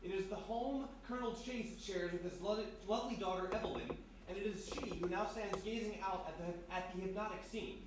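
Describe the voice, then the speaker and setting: A man's voice: high-pitched, brisk, hardly any pauses. Very echoey male radio-play narrator. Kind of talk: scripted radio drama narration. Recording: very echoey live room, distant mic, noisy.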